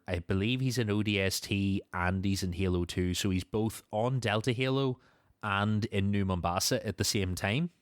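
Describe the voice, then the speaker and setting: A man's voice: on the low side, clean tone, no room sound, studio quality. A man hosting a podcast, casually recapping and discussing a story with a co-host.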